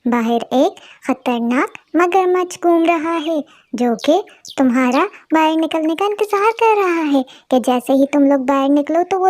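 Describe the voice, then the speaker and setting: A woman's voice: high-pitched, darkish tone, no room sound, studio quality. A woman voicing a bold, protective female crow in a moral cartoon, with an earnest, emphatic manner. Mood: urgent warning.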